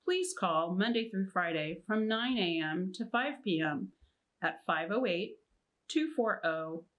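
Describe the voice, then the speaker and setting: A woman's voice: on the low side, lively intonation, a little slow, fairly bright tone. A woman coordinator delivering a scripted, informational announcement for a family programme in a formal manner.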